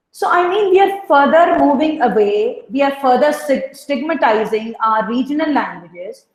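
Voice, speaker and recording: A woman from Punjab, speaking at a webinar over a video call in an earnest, reflective way with many "you know" fillers. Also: high-pitched. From Punjab, Pakistan. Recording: roomy echo.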